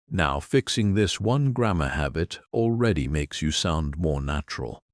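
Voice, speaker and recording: A man giving a scripted English lesson, explaining clearly and patiently in an encouraging way. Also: low voice, darkish tone, no room sound, clean studio sound. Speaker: English teacher. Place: in Europe.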